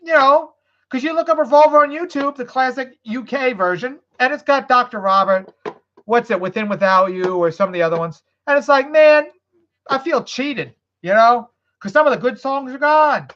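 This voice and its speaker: A woman's voice: high-pitched, normal speed, a bit bright. Woman streamer, casual rambling chat, profane and loose, dull lo-fi audio. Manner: rambling, swearing, loose after beers. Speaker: live streamer.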